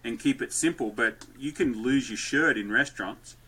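A man guesting on a podcast, telling stories and dad jokes loudly in a rambling, lively way. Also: medium pitch, moderate pace, crowd noise. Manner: loud, joke-telling, rambling. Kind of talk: lively conversational storytelling.

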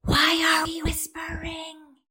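A woman's voice: sits high, sing-song, moderate pace, soft background music. An actress playing a polite, curious female AI turned human in an audio drama, courteous and formal.

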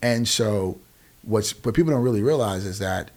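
Low-voiced American man in his forties, emphatic. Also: moderate pace. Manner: emphatic, often checks in with "right?". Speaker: podcast guest, nonprofit founder. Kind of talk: conversational explaining in an interview.

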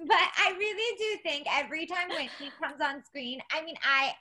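A woman's voice: sits high, moderate pace, bright, forceful tone. A woman co-hosting a podcast, giving her opinions emphatically in casual chat. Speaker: podcast co-host.

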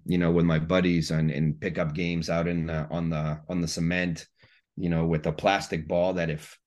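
Deep-voiced coach from Guatemala. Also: male voice. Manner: warm-hearted, repeats words, many "you know"s. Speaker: high school soccer coach, guest. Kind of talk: casual interview chat.

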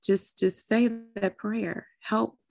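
A woman's voice: medium pitch, fairly even intonation, slow pace, creaky, a little mellow, no echo. A woman hosting an interview show in a candid, heartfelt, familiar and affectionate conversational way.

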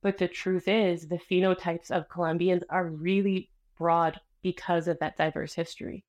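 A woman author speaking as a guest in a casual interview, expressive and energetic as she tells stories. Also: fairly low pitch, normal speed. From Canada. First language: Spanish.

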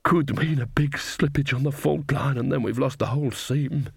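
A man's voice: mid-range voice, normal speed, creaky, slightly rough, no echo, clean studio sound. Man voicing a practical dwarf miner. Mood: mournful. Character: gloomy, practical male dwarf miner of fantasy. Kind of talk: audiobook character voice.

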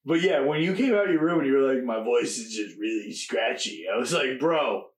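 A young man co-hosting a podcast, telling stories in casual chat, quick and animated and swearing freely. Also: medium pitch. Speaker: podcast co-host. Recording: echoey room.

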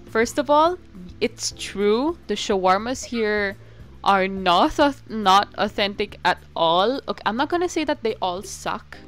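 A woman's voice: medium pitch, expressive, unhurried, soft background music. A young woman co-hosting a podcast in casual chat, giggly and rambling, breaking into playful rants. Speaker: podcast co-host.

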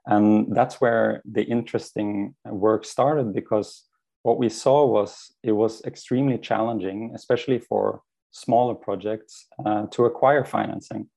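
A man's voice: fairly low pitch, fairly even intonation, measured pace, vocal fry, mellow. A young adult man, answering interview questions thoughtfully and often repeating words as he finds his phrasing. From Norway. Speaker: guest, startup co-founder.

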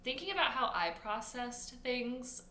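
A woman's voice: a little high, expressive, unhurried, bright, forceful tone, a little hiss or hum. A young adult woman, a teacher co-hosting a podcast, chatting casually with lots of fillers and frequent laughs. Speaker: podcast co-host. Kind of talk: casual chat. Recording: echoey room with faint ambience.

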